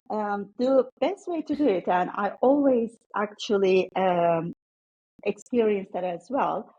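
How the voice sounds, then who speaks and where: A woman's voice: a little high, darkish tone, breathy edge, poor audio. A middle-aged woman with a Turkish accent, answering as a guest in a conversational interview. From Turkey. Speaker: podcast guest, coach.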